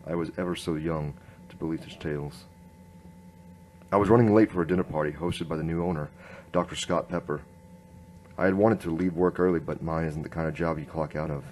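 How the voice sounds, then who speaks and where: A man acts out a weary, wry detective narrating a noir radio play. His voice is very low and deadpan, over faint background noise. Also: normal speed. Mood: brooding. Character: world-weary, wry, deadpan male noir police inspector.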